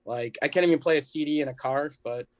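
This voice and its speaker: A man's voice: mid-range voice, fast. An American man from Chicago, a band musician chatting casually as a podcast guest, rambling along with plenty of "like" and "you know". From Chicago, United States.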